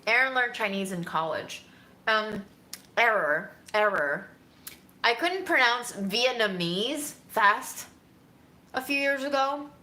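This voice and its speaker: A woman's voice: fairly high pitch, animated, slow pace, bright, forceful tone. A woman chatting casually as she answers viewer questions, musing and easygoing. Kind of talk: casual Q&A chat.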